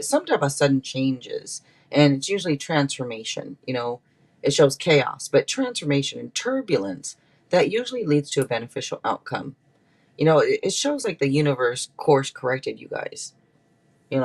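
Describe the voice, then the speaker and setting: Chatty, playful man reading tarot live. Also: medium pitch. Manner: chatty, rambling, playful asides to viewers. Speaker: tarot reader and livestream host. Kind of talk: casual live tarot reading with chat asides.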